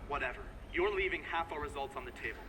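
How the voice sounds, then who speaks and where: An actor playing a nagging, sulky research scientist in a video game. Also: male voice, a little high, fast, sharp tone, slight background noise. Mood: argumentative. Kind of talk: acted video game dialogue.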